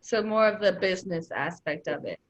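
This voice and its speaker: A woman's voice: fairly low pitch, moderate pace, rough recording. A young woman moderating a focus group over a video call, laying out rules and asking questions in an organized way. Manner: organized, reads rules aloud in a steady tone.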